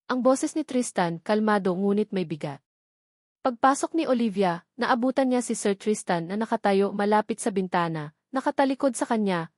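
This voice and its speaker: A synthetic woman's voice reads a dramatic romance story aloud. Her pitch sits in the middle range and her delivery is even. The recording is dry, with no room sound. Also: dry recording. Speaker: narrator. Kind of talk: scripted story narration.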